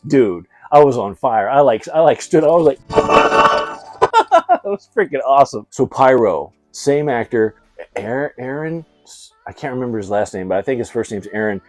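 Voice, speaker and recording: A man's voice: medium pitch, lively intonation, moderate pace. A middle-aged man hosting a casual movie review, talking in a slangy, effusive and emphatic fan's way. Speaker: video host, movie reviewer.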